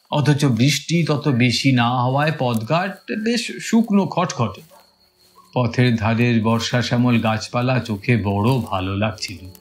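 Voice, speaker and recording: A man playing a village schoolteacher who recounts his own eerie experience in measured, hesitant audio-story narration. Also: medium pitch, natural voice. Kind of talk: dramatised first-person audio story narration. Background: music.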